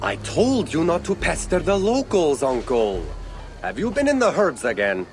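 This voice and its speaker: An actor playing a boisterous, good-natured young Viking villager in a video game, earnest and animated. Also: male voice, fairly high pitch, normal speed, faint music behind. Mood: exasperated, apologetic. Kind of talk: dramatic video game acting.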